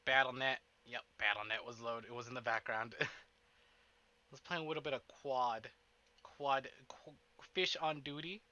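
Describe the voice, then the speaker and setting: A man chatting casually to livestream viewers in an animated, self-interrupting, playfully profane way, as host of a game stream. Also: mid-range voice, normal speed, muffled recording. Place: indoors at a desk.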